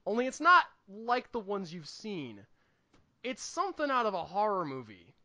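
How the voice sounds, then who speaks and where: A man co-hosting a podcast in casual comedic chat, telling stories in an emphatic, animated way with repetition for effect. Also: sits high, normal speed. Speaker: podcast co-host.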